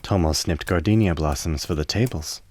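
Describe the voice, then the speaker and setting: A man's voice: low-pitched, normal speed, a little mellow, no room sound. A man reading scripted narration for a radio play.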